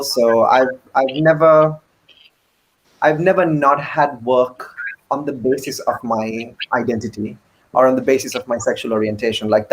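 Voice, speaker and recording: A man speaking as an actor on a panel, measured and thoughtful. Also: medium pitch, slight background noise, low-quality recording. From Sri Lanka. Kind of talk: reflective interview talk.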